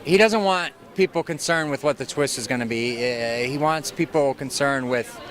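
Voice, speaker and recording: A man's voice: medium pitch, moderate pace, fairly bright tone, a faint crowd. A man, an actor, explaining his ideas in a thoughtful, rambling way at an outdoor red carpet.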